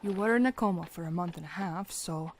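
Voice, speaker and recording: A woman playing a reassuring, patient doctor in a fan audio drama, with a gentle professional bedside manner. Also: on the low side, brisk, slight background noise, close to an everyday voice.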